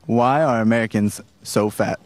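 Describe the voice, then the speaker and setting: A man's voice: lowish voice, moderate pace. Casual man doing street interviews.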